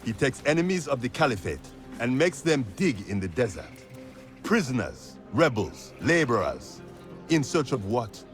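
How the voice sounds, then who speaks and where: An actor playing a gruff, commanding, mocking rebel fighter in a video game cutscene. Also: male voice, medium pitch, sing-song, moderate pace, faint music behind, close to an everyday voice. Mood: grim.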